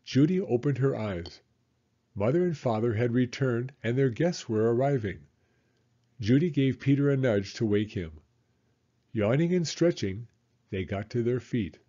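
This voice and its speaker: A man reading a children's storybook as an expressive, dramatic narrator. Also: medium pitch, measured pace, muffled recording.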